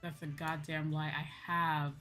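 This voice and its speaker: A woman's voice: sits low, level tone, a little slow, a bit bright, soft background music. A woman livestreamer chatting casually and ranting in a dramatic, exasperated way, repeating phrases for emphasis. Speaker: livestreaming VTuber host.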